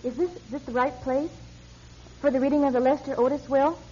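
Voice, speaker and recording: An actress playing an eager, courteous, slightly flustered charity director in a classic radio drama, chatty and apologetic. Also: female voice, high voice, steady tone, fairly quick, darkish tone, lightly acted. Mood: flustered, polite. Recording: dull lo-fi radio recording.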